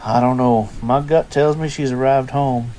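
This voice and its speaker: A man's voice: mid-range voice, fairly even intonation, normal speed, warm tone, close to an everyday voice. A man acting the part of a weary, teasing father of a teenage daughter in an audio drama. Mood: wry, easygoing.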